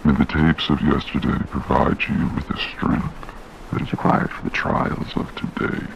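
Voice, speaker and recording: An actor playing an ominous, solemn entity in a horror game, delivering cryptic, grandiose pronouncements in a gravelly voice. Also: male voice, a bass voice, flat delivery, normal speed, lo-fi sound, slight background noise, full character voice. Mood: solemn, foreboding. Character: ominous, solemn male entity addressing a stranger before trials. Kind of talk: scripted horror game monologue.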